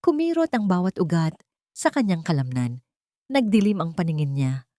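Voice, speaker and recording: A woman's voice: fairly low pitch, very animated, normal speed, dark tone, no echo, studio quality. A woman reading a scripted dramatic story as narrator.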